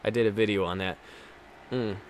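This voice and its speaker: A man's voice: fairly low pitch, fairly even intonation, brisk, slight background noise. A man in his twenties doing casual, foul-mouthed live commentary while streaming a racing game, bantering jokily with viewers.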